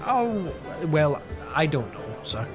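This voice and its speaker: An actor playing a modest, deferential young knight in an audio drama, with a halting, polite delivery. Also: male voice, fairly high pitch, very animated, over music. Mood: awkward, modest. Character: hesitant, deferential young knight, guarded and awkward.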